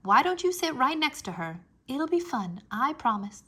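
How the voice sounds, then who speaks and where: A woman voicing a firm, coaxing mother of a young girl in an expressive children's storybook reading. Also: high-pitched, normal speed, husky, voice pushed up, full character voice. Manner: coaxing, emphatic. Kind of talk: children's storybook read-aloud.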